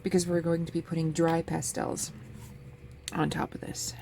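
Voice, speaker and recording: A sniffly woman hosting a casual craft tutorial, chatting in a relaxed way as she works at her table. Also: sits low, moderate pace, vocal fry. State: sniffly from a cold. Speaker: crafting channel host. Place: home craft table with a fan running. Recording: faint fan noise in background.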